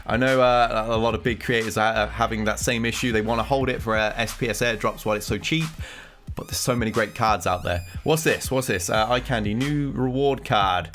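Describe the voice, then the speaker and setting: A man's voice: fairly low pitch, brisk, fairly bright tone, soft background music. A man with a cold and a nasal voice, giving casual commentary on game news as a gaming content creator. He rambles and thinks aloud as he reacts.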